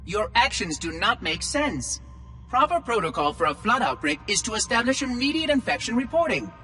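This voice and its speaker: Ancient machine AI, prim and fussy, high and bouncy delivery, game cutscene under loud score. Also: male voice, big pitch swings, moderate pace, soft background music, exaggerated voice. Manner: prim, precise, fretful. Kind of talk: video game cutscene acting.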